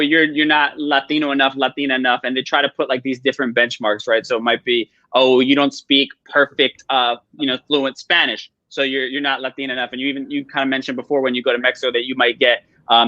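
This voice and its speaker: Fast-talking American man, bright forceful voice. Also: medium pitch, no echo, dull audio. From New York and New Jersey, United States. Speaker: panel moderator. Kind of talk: casual roundtable interview. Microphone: live video call.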